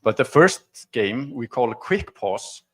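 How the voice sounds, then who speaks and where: A man's voice: mid-range voice, very animated, slow pace, fairly bright tone. A man from Iceland, speaking as a conference presenter in an informal, explanatory and conversational way during a technical demo talk. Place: conference stage before an audience.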